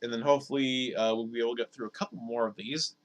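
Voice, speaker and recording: A man streaming games, talking casually and rambling with lots of fillers, his voice slightly hoarse. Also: mid-range voice, fairly even intonation, fast. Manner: rambling, filler-heavy, repeats words. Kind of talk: casual live-stream chat over gameplay.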